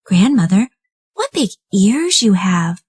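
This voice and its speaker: A woman voicing a sweet, polite little girl in a storybook reading, with a high, eager voice. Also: sing-song, takes it slowly, a little mellow, breathy edge, exaggerated voice. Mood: wondering.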